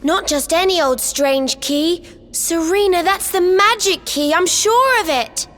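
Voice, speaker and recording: A woman voicing a bossy, prickly little girl in a video game, with haughty, deliberate phrasing. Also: very high-pitched, fairly bright tone. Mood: excited certainty. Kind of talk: scripted video game cutscene acting.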